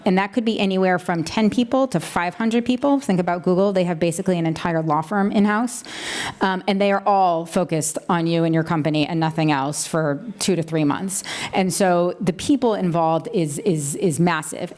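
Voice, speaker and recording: A woman lawyer moderating a conference panel, brisk with dry asides. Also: fairly low pitch, darkish tone. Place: conference stage.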